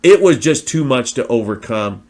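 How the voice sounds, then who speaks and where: A man's voice: mid-range voice, expressive, moderate pace, a little mellow. A man hosting a sports talk show, speaking energetically and conversationally with fillers and restarts, his voice still recovering from having been lost.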